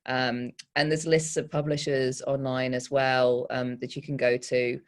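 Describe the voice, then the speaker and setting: A woman poet giving informal panel advice in a candid, self-deprecating, halting way. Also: a bass voice, little pitch movement.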